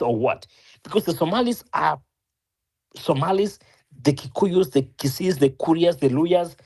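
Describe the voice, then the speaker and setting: A man's voice: mid-range voice, darkish tone, breathy edge. A Kenyan man arguing his point in a discussion, earnest, often restarting and correcting himself, rising as he presses a point. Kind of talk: opinionated discussion.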